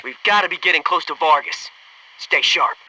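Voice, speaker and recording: High-pitched, brash wisecracking soldier. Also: male voice, moderate pace, sharp tone, slight background noise, poor audio. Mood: wry, focused. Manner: punchy, sarcastic wisecracks and urgent squad callouts. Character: wisecracking male special-forces soldier, brash and folksy. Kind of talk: military shooter game voice acting.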